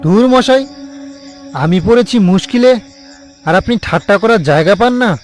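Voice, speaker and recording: A high-pitched, anxious male traveller from a cartoon ghost story, acted by a man. He is stubborn and testy, ready to plead, with music clearly playing behind him. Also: animated, a little slow. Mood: irritated, stubborn.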